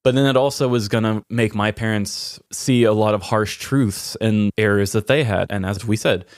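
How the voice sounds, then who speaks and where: A man's voice: fairly low pitch, normal speed, no echo, studio quality. A man hosting a podcast, talking candidly and personally in an earnest, reflective way. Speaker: podcast host.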